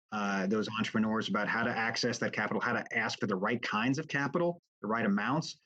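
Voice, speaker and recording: A man giving halting, measured, explanatory testimony off the cuff as an expert witness at a formal remote hearing. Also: mid-range voice, fairly bright tone. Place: remote hearing over video call. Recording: dull, lo-fi video call audio.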